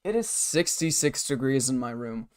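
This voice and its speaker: Hoarse streamer chatting through gameplay. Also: male voice, medium pitch, lively intonation, moderate pace, darkish tone. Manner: rambling, muttering to self and chat. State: hoarse, scratchy throat. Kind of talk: casual live-stream gameplay chat. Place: bedroom at a desk.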